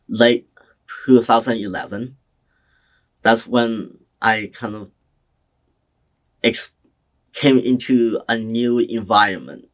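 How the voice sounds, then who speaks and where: Slow, hesitant young man. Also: mid-range voice, low-quality recording. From China. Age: young adult. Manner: slow, hesitant, soft-spoken. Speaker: interviewee, community college student. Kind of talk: oral history interview answers.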